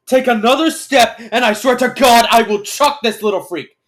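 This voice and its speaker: A man's voice: very high voice, normal speed, fairly bright tone, pitched above the performer's own voice. A man voicing a hot-tempered, wary alien man in a storybook reading, commanding and exasperated. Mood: angry shouting.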